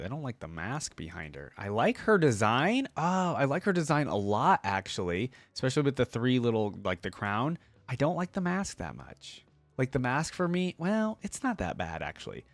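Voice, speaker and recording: A man's voice: mid-range voice, dry recording. A man giving casual, informal reaction commentary, thinking aloud with exclamations as a video creator.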